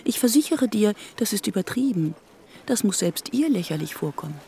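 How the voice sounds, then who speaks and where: An actress playing a concerned, middle-aged mother in a German literary radio drama, admonishing in a cultivated, gently reproachful way. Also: mid-range voice, sing-song, moderate pace.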